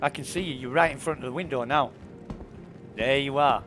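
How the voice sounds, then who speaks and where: A man's voice: medium pitch, brisk, music in the background. A man from England with a Yorkshire accent, streaming a space game and patiently talking a friend through where to land, step by step. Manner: patient, explains directions step by step. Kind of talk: casual gaming chat with friends.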